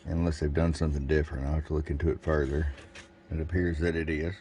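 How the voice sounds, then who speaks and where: A man's voice: extremely low pitch, level tone, fast talker, warm tone. A man giving a casual bench review of gear, plain-spoken and thinking aloud as he goes. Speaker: product reviewer. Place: indoor electronics workbench. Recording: faint background noise.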